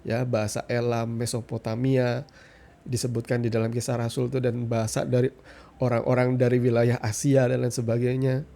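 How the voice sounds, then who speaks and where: A man with a mid-pitched, gravelly voice delivers an informal Bible reflection. His tone is dark and relaxed. He teaches in a conversational way, often adding short tags and pausing for emphasis. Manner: conversational teaching, frequent tag questions and pauses for emphasis.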